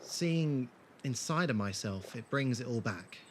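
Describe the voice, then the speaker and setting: A man playing a gentle, war-scarred wanderer in an audio drama, with an earnest, hesitant delivery. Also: mid-range voice, expressive, unhurried, a little hiss or hum, close to an everyday voice. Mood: haunted. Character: gentle, awkward, war-scarred male wanderer with strange powers.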